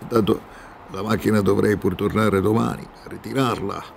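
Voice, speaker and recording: A man's voice: on the low side, steady tone, moderate pace, creaky, a little hiss or hum. An actor playing an easy-going, amused travelling salesman in an Italian radio drama, musing and exclaiming. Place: theatre stage, radio drama recording.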